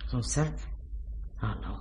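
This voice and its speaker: A woman's voice: a bass voice, expressive, slow, mellow, heavily acted. Chatty, flustered woman concierge. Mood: chatty, concerned. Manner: garrulous, exclamatory, hesitant. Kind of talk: French radio crime drama acting.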